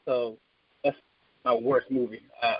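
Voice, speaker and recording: A man's voice: mid-range voice, little pitch movement, a little slow, husky, no room sound. A man in his thirties chatting casually and emphatically as a podcast co-host, giving enthusiastic opinions. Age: 30s. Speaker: co-host of a video game podcast. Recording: slightly dull, dry radio-like sound.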